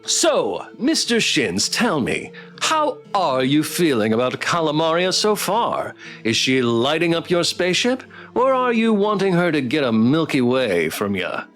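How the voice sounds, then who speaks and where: A man's voice: on the high side, wildly swooping pitch, a little slow, hardly any pauses, a bit bright, faint music behind, full character voice. A man voicing a peppy male dating game show host in a visual novel, with teasing, showy patter. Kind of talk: visual novel dialogue read-aloud.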